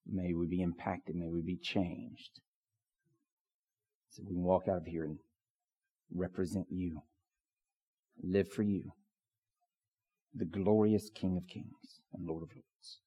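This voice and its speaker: A man preaching as a pastor, with a hoarse voice and lots of fillers and restarts. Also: deep voice, steady tone, slow, long pauses, mellow. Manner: filler-heavy, restarts often. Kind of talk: prayer and sermon. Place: church sanctuary. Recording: long church reverb.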